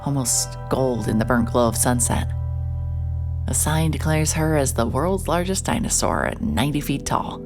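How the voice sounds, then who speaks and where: A woman's voice: rumbling low, very animated, normal speed. A woman narrating in scripted first person as a world-weary private investigator, dry and wry in tone. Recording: music bed under narration.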